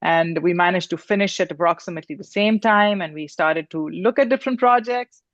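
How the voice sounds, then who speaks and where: A woman's voice: on the low side, a little fast, no echo. An older woman from Pakistan, a guest on a video call, talking casually with frequent fillers and light laughter. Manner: warm, laughs often, many fillers. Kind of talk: casual interview. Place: home room. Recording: dull lo-fi video call audio.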